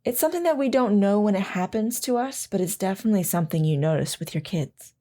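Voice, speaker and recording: A tender, reflective mother in an audio drama, acted by a woman. She is wistful and sentimental, both when she narrates and when she talks, with a medium-pitched voice. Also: lively intonation, fast, warm tone, natural voice. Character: loving, wistful mother recalling camping with her daughter.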